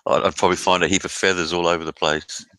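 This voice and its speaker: A man hosting a casual live stream chat, relaxed and bantering. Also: sits low, fast, no echo, poor audio.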